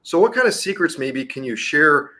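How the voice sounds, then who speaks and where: A man hosting a talk radio interview show, chatty and energetic, plugging the show's website between questions. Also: on the high side, normal speed.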